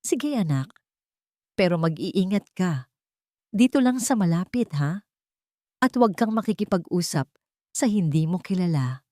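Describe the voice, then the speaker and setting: A woman voicing a loving wealthy father who speaks gently and reassuringly to his son. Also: lowish voice, expressive, unhurried, pauses often, no room sound, studio quality. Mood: gentle. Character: loving rich father posing as a poor man.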